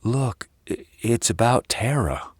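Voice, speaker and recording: A man playing a gruff, guarded sheriff in an audio drama, blunt and commanding. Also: medium pitch, expressive, takes it slowly, no room sound, clean studio sound. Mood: urgent. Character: gruff, guarded small-town sheriff taking charge.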